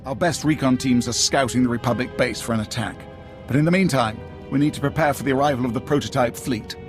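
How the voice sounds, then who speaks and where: Casting: male actor as haughty, impatient military commander, clipped and authoritative, medium pitch, sci-fi game with faint score underneath. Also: fast talker, faint music behind, close to an everyday voice. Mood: briskly commanding. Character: brusque, commanding Imperial military officer, proud and impatient. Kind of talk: video game cutscene acting.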